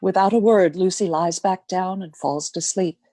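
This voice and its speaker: A woman's voice: fairly low pitch, moderate pace, mellow, dry recording, clean studio sound. A woman reading stage directions as the narrator of a scripted radio play.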